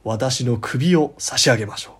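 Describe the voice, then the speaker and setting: Male narrator as greedy young villager in a ghost story: husky, pushy, scheming, exclamatory. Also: mid-range voice, normal speed. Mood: confident wager.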